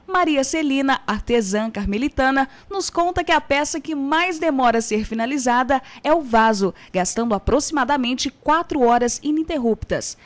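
A young woman reads a local news report in a formal, even voice. Her pitch sits high. She speaks into a handheld mic at an outdoor tented fair. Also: moderate pace, few pauses. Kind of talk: scripted news narration. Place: outdoor craft fair under tents.

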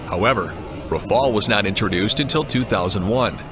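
A man reading a scripted documentary narration in an even, measured way. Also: lowish voice. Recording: voice-over with clear music behind.

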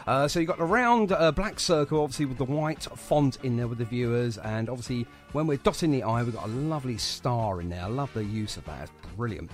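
A man's voice: medium pitch, animated, fairly quick, few pauses, faint music behind. A man chatting casually and enthusiastically as he hosts a radio interview.

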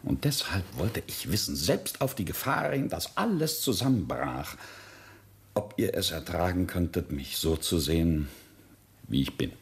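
A man's voice: low voice, expressive, measured pace, creaky, exaggerated voice. An actor playing an accused wealthy family man in a radio drama, intense and earnest. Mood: earnest, regretful. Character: accused wealthy businessman, bitter, regretful husband and father. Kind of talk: German radio drama acting.

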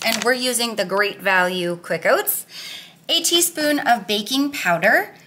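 A woman in her thirties talks through a recipe in a casual, chatty way, leaning on "like" as she goes. Her voice is bright and sits a little high. It is recorded on a camera at mid distance in a home kitchen. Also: slight background noise. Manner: chatty and upbeat, lots of "like". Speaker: weight-loss cooking vlogger.

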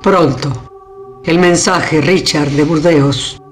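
A woman's voice: sits low, over music. An actress playing an imperious, nostalgic old queen mother in a radio drama, with an Argentine accent and theatrical delivery. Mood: regal, commanding. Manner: regal, slow, theatrical.

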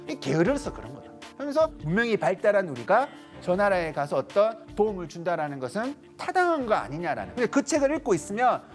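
A man in his thirties giving a lively lecture to a studio audience, acting out little quoted voices as he goes. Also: high-pitched, fast talker, hardly any pauses, faint music behind. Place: large TV studio stage with audience.

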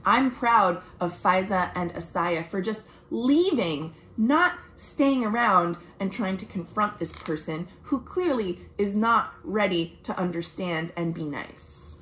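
A young American woman reads a picture book aloud to children, expressive and deliberate, with friendly asides like a storytime librarian. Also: mid-range voice, low-quality recording. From United States. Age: young adult. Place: small room at home.

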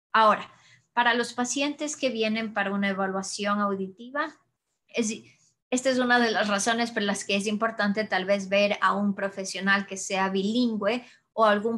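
Woman audiologist answering webinar questions. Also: mid-range voice. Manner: calm, explanatory, frequent restarts. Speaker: audiologist presenter. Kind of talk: webinar Q&A explanation. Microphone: webcam video call.

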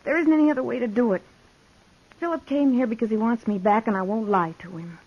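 A woman's voice: on the high side, fast. A resolute young saloon girl. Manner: resolute, soft-spoken. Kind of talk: 1950s radio drama acting. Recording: dull old radio recording.

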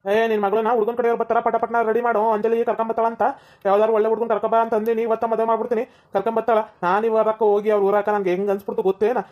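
A performer plays a stubborn village father who scolds and insists, talking very fast. The recording is dull and lo-fi. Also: mid-range voice, level tone, lightly acted. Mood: insistent.

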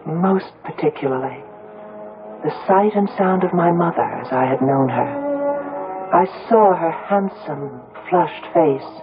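A bereaved, reflective woman recounting her life in 1970s radio drama. Her phrasing is measured and literary. The old broadcast is thin and phone-like, with clear music behind it. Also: sits low. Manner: measured, literary first-person storytelling. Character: Una, grieving, reflective grown daughter telling her story. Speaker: lead actress and narrator.

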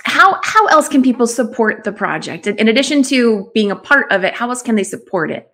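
A woman's voice: on the high side, sing-song, brisk, a bit bright. A woman in her forties hosting a conversational interview in an enthusiastic, affirming way from a small room. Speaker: show host. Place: small room at home, indoors. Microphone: video call.

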